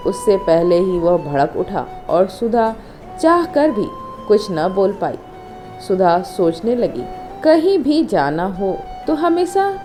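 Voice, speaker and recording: Female narrator for emotional family drama story, unhurried and expressive, medium pitch, clear music bed. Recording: music under the voice. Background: instrumental music.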